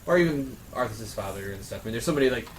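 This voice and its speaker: A man in a casual round table chat, talking in a rambling, excitable fan's way. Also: mid-range voice, rapid-fire, a little hiss or hum, poor audio. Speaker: podcast panelist.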